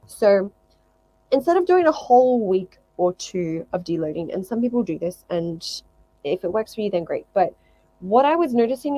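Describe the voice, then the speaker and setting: A woman's voice: medium pitch, darkish tone, rough recording. A woman talking casually to viewers as a fitness vlogger, explaining things emphatically and often repeating phrases in lists.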